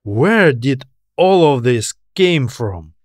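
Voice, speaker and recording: A man voicing a worried, earnest woman astronaut with a drawn-out, wondering delivery in an audiobook. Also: mid-range voice, sing-song, dry recording, studio quality. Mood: amazed.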